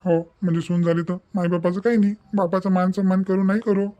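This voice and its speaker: A man acts out a wounded, sentimental father in middle age from a cartoon family story. He grumbles plaintively in a mellow, fast-moving voice with a Vidarbha Marathi accent. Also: high voice, flat delivery, dull audio, lightly acted. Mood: hurt. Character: hurt, sentimental middle-aged father missing his daughter. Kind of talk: cartoon family drama dialogue.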